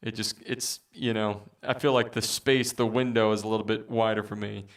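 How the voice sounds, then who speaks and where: A man with a baritone voice chatting casually with viewers as a musician streamer, rambling with many fillers. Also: little pitch movement, moderate pace, distant mic. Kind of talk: casual livestream chat.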